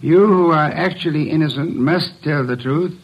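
Commanding old-time radio sleuth, formal precise diction, fairly high voice, dull vintage sound. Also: male voice, moderate pace, breathy edge. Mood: stern, deliberate. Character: imperious, deliberate male detective, a mastermind unmasking a killer.

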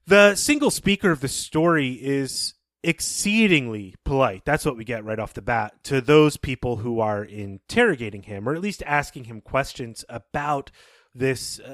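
A man co-hosting a podcast, talking casually and explaining things in a measured, thoughtful way. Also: medium pitch, fairly bright tone, dry recording. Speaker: podcast co-host. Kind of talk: casual podcast talk and story recap.

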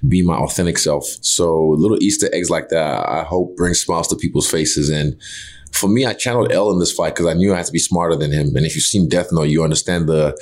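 A man with an extremely deep voice whose first language is Yoruba talks as a guest in a casual interview. He speaks with intensity and emphasis and swears loosely. He sounds like a fighter in his thirties. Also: a little fast, darkish tone.

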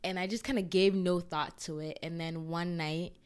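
A young woman hosting her own podcast, talking in a chatty, excitable way with lots of fillers. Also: lowish voice, normal speed, smooth. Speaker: podcast host. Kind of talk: casual solo chat.

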